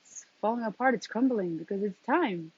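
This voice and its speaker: A woman with a husky, mid-pitched voice talks like a life coach delivering a spiritual message. She speaks in short, deliberate phrases and repeats them for emphasis. The recording is dull and lo-fi. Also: expressive. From New York, of Colombian background. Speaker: transformative coach and intuitive guide. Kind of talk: motivational spiritual talk to camera. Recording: dull, lo-fi sound.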